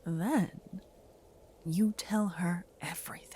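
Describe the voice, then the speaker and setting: A woman gives scripted second-person fantasy narration for ASMR in a soft, hushed, partly whispered voice, with measured storytelling. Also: fairly low pitch, a little hiss or hum.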